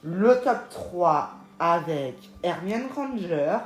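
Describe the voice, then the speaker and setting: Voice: medium pitch, animated, extremely slow, echoey room. A speaker co-hosting a casual ranking video, reading out the entries in a matter-of-fact way. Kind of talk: casual scripted chat.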